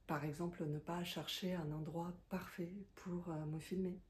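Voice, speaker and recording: Casting note: low-ish, warm woman, non-native speaker, reflective and hesitant. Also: little pitch movement, normal speed. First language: not French. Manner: hesitant, many fillers and restarts; thoughtful and confiding. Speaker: content creator, self-employed. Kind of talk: reflective talking-head monologue.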